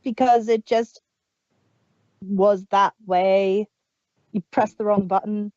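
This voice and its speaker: Slow, chatty woman on a dull dry mic. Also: mid-range voice, steady tone, darkish tone, dry recording. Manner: hesitant, restarts often, chatty. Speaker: podcast co-host. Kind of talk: casual review chat.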